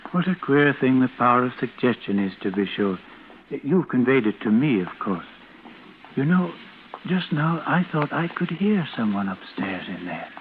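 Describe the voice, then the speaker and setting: An actor playing a formal, musing undertaker with hesitant, old-fashioned phrasing, in 1940s radio drama style. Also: male voice, mid-range voice. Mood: uneasy, wondering. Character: musing, formal undertaker telling an eerie tale. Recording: tinny, noisy old radio recording.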